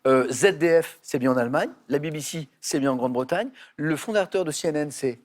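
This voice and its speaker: A man's voice: on the high side, a little fast. A man hosting a television quiz show in a studio, playful and chatty with the contestants.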